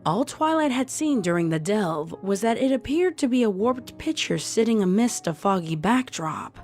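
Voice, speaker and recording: A woman's voice: mid-range voice, sing-song, moderate pace, darkish tone, soft background music, natural voice. A woman narrator reading a scripted story.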